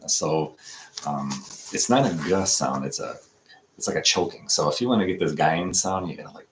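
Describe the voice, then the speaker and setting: A man in his fifties giving a casual, halting practice lesson, breaking phrases down word by word with many pauses and fillers. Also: sits low, lively intonation, slight background noise. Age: 50s. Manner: slow, halting explanations with many fillers, breaks words down piece by piece. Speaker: language learner teaching from a textbook dialogue.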